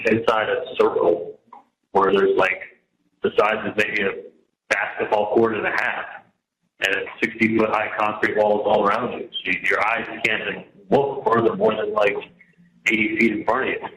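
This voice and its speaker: A man in jail venting on a phone call in a halting, repetitive, casual way. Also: on the low side, a bit bright, a little hiss or hum. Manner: halting and repetitive, venting about his situation. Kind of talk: casual, rambling personal phone conversation. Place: jail, on a telephone. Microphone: telephone handset. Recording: echoey jail telephone call.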